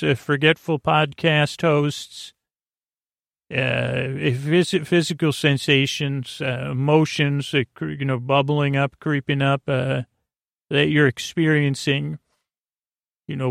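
A man hosting a bedtime podcast in a creaky voice, meandering soothingly through halting false starts and tangents. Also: mid-range voice, no echo, studio quality. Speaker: host of a bedtime sleep podcast. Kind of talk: rambling, soothing bedtime monologue.

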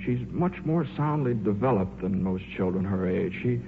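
A hesitant scientist, tinny vintage radio. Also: male voice, on the low side, expressive. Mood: earnest. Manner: hesitant, halting, earnest, stammering. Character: shy, earnest, bumbling male scientist, devoted father. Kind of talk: 1950s radio drama acting. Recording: muffled, noisy 1950s radio recording.

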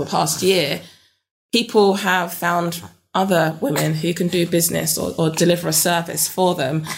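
A young woman with a London accent, a guest in a relaxed interview, talking with many ums and restarts. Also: low-pitched. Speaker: interview guest, business network founder.

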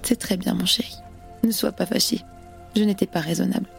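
A woman's voice: medium pitch, steady tone, fairly quick, creaky, faint music behind. A woman acting a passionate, melancholy young revolutionary in an audio drama, earnest and lyrical. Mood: wistful, resigned.

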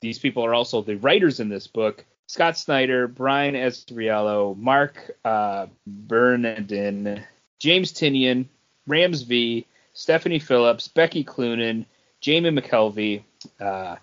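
A man with a mid-pitched voice talks casually as a podcast co-host. His delivery is conversational and informal. The recording is slightly dull and lo-fi. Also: slow, fairly bright tone. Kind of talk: casual comics podcast chat.

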